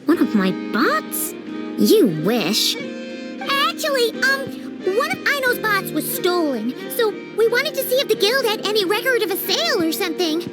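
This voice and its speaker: Very high-pitched, plucky little girl. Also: sing-song, moderate pace. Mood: indignant. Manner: childlike, casual, feisty. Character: plucky, blunt young girl inventor, shop boss. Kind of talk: video game character dialogue. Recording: music playing behind.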